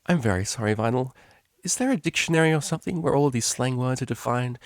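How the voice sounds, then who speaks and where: A man's voice: medium pitch, animated, quick pace, dry recording, studio quality, pitched above the performer's own voice, close to an everyday voice. A man voicing a prim, polite and naive young female cellist pony in a storybook reading, with formal, proper diction. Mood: earnest, unsure.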